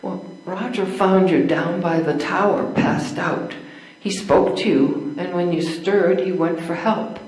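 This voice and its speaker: A woman's voice: fairly low pitch, a little slow, darkish tone, soft background music, lightly acted. An older woman in amateur readers theater playing a kind, practical friend, speaking reassuringly. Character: kind, steady female friend soothing someone. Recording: echoey room.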